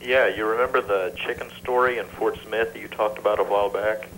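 A man calling a show by telephone, talking casually and haltingly. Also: on the low side, steady tone, fairly bright tone. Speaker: caller. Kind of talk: casual halting phone chat. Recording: faintly noisy phone-in tape.

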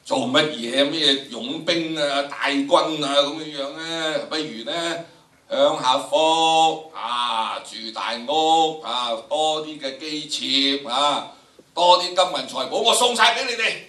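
Casting: man playing shrewd Chinese emperor in a history tale, Hong Kong Cantonese, bright, drawn-out, insinuating, roomy hall. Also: fairly high pitch, voice pushed up. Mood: coaxing. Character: shrewd Song emperor, weary yet veiledly threatening. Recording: echoey lecture hall, distant microphone.

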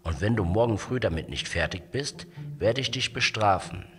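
A greedy old storybook king, put on by a male narrator, hands down imperious orders. The words are stretched out and commanding, with big swings in pitch. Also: expressive, normal speed, in a large hall, music in the background, close to an everyday voice.

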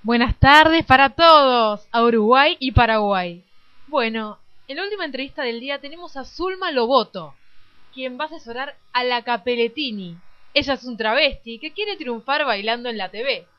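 A woman voicing a brisk, nosy cable talk show hostess in a parody radio play. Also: high voice, expressive. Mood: welcoming. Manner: presenterly, prying. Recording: dull lo-fi audio.

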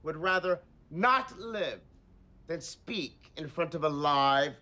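A theatrical stage host with a high, sharp voice. He announces grandly and slowly, sweeping up and down in pitch. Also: animated, heavily acted. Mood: ominous, dramatic. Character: flamboyant, theatrical male acting academy master of ceremonies. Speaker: show host.

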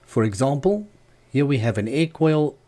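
A man narrating a scripted how-to tutorial as the channel's host, in a measured, explanatory manner. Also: medium pitch, animated, warm tone. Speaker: host of a DIY loudspeaker channel.

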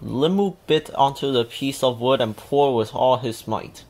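A woman reading a children's picture book aloud as an expressive storyteller. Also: extremely low pitch, moderate pace. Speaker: storybook reader.

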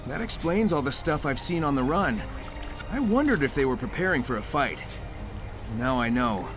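An actor voicing a breezy, upbeat hedgehog hero in a video game cutscene, casual with slang. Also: male voice, medium pitch, sing-song, normal speed, natural voice. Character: cocky fast blue hedgehog hero, upbeat. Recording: game audio with music underneath.

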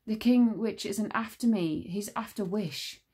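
A woman's voice: medium pitch, unhurried, dark tone, breathy edge, voice pushed up. A woman voicing a proud, desperate young boy who talks in urgent, defiant bursts.

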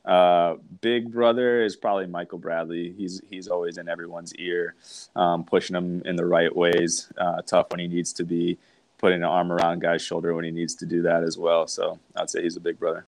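A man in his thirties, a pro soccer player guest, chatting on a video call from home in a relaxed, hesitant way with many ums. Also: sits low, moderate pace, creaky, dry recording. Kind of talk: casual video-call chat. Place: home room.

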